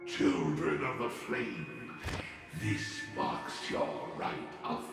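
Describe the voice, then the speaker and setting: Casting: menacing cult patriarch, very low, solemn and theatrical, heavily reverberant over music. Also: male voice, animated, drawn-out words, full character voice. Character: menacing male destroyer, slow, grandiose cult father. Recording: heavy cavernous echo, music underneath.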